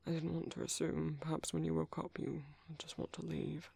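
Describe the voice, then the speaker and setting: A man acts out a kindly vampire priest with a British accent, speaking very breathily with a gravelly fry. He is polite and hesitant, softly reassuring a stranger in a half-whisper. It is an intimate ASMR roleplay. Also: fairly high pitch, little pitch movement, moderate pace, no room sound, exaggerated voice. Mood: gentle, hesitant. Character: gentle, caring male vampire priest sheltering a stranger. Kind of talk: soft-spoken ASMR roleplay.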